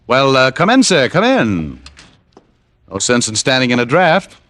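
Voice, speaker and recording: A man's voice: medium pitch, huge pitch swings, measured pace, fairly bright tone, slight background noise. A man playing a cocky, wisecracking private detective in an old-time radio drama, with a wry, flippant delivery. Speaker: lead actor. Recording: vintage radio broadcast with music.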